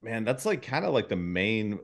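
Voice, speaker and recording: Low-voiced man chatting casually as podcast host. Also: very animated, moderate pace, creaky. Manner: rambling, thinks aloud, swears casually. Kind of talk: casual chat.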